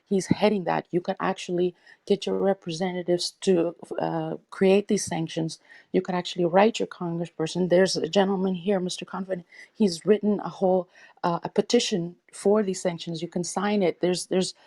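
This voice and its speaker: A woman's voice: lowish voice, fairly even intonation, normal speed, creaky, warm tone, dry recording. A woman born in Afghanistan and raised in the United States, speaking earnestly and urgently as a panelist in a casual audio chat room.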